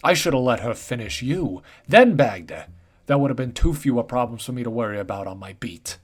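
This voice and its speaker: A man's voice: lively intonation, fairly quick, close to an everyday voice. A man voicing a brutish, bullying male police officer who growls and barks. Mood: snarling. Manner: low growling, barking shouts. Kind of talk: storybook reading.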